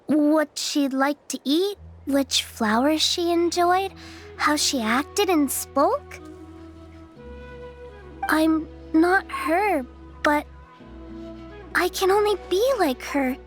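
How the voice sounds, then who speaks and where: An actress playing a timid, vulnerable young girl who speaks haltingly in a video game story. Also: sits high. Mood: lost, hesitant. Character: timid, unsure young girl who lost her memory. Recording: music under the voice.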